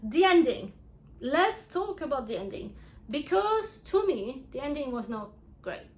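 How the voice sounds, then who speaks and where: A young woman whose first language is French talks through a book review in English with a clear French accent. Her voice sits a little high for a woman, and she is frank and chatty, laughing often and restarting her sentences. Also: sing-song, a little slow. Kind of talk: casual book review vlog.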